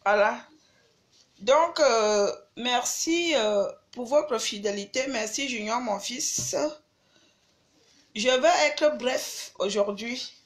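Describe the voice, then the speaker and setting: A woman's voice: fairly high pitch, slow pace. An older woman from Cameroon with a cold, hosting a livestream and lecturing her followers emphatically. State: has a cold. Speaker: livestream host. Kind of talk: live video chat with followers.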